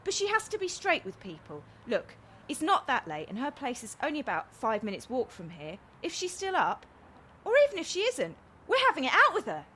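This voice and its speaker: A woman's voice: sits high, wildly swooping pitch, fairly quick, pushed and bright, slight background noise, full character voice. A woman playing a frank, kind-hearted young woman in a radio comedy, chatty and earnest as she explains herself. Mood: indignant, determined. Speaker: actor in a radio play. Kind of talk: naturalistic radio comedy acting.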